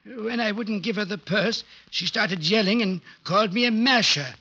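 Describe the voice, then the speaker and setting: A man's voice: sits high, normal speed, bright, forceful tone, heavily acted. An actor playing a timid, fussy male butler in a 1940s radio drama, polite and easily flustered. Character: timid, prim middle-aged male butler. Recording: dull lo-fi old radio recording.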